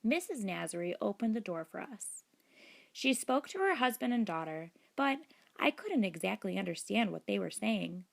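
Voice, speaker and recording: A woman reading a children's book aloud as narrator, in a deliberate, expressive storytelling manner. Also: medium pitch, creaky. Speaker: storybook reader.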